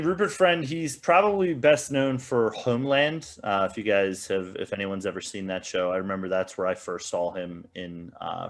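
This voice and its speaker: A man giving casual opinions as a podcast panelist, in a rambling, hedging way. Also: lowish voice. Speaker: podcast panelist reviewing films.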